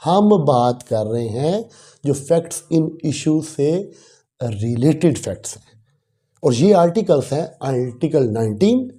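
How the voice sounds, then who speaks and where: A man's voice: mid-range voice, big pitch swings, slow pace, mellow. A man with a Pakistani accent lecturing like a law teacher, explaining didactically and repeating key phrases for emphasis. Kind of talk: exam lecture explaining a legal text, switching between Urdu and English.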